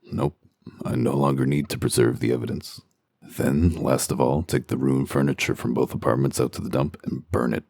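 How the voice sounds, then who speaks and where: A man voicing a gruff, sardonic werewolf detective in a comic dub, with a growling, commanding delivery. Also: flat delivery, moderate pace, a little mellow, no room sound. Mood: curt, weary. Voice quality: very deep, gravelly growl. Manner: dry, sarcastic, commanding.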